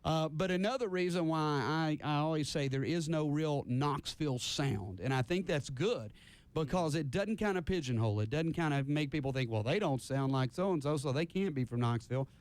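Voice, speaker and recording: Higher-voiced man hosting radio. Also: expressive, moderate pace, no echo. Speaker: radio host interviewing a band. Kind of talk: casual live radio interview. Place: radio studio.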